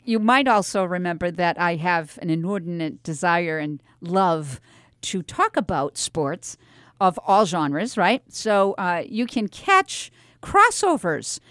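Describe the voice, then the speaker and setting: A middle-aged American woman hosting a talk radio show in a chatty, animated way with emphatic asides and rhetorical questions. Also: on the low side, slow pace, dry recording, studio quality. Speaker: radio host.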